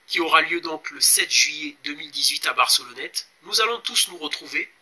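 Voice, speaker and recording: A man in his forties from Congo, explaining a fitness challenge in a casual vlog, pausing often with fillers and urging viewers to join. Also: fairly high pitch, lively intonation, sharp tone. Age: 40s. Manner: hesitant, many pauses and fillers, urging viewers. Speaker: fitness vlogger.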